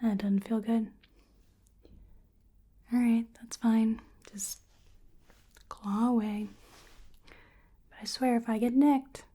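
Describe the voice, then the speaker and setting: Slow, breathy woman whispering ASMR. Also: fairly high pitch, steady tone, warm tone. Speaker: ASMR performer role-playing a medical examiner. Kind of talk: soft-spoken ASMR medical exam role-play.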